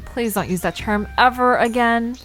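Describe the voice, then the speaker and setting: A woman playing a curious, friendly young park visitor in an audio drama, inquisitive and expressive in a conversational way. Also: a little high, normal speed, faint music behind, natural voice, rough recording.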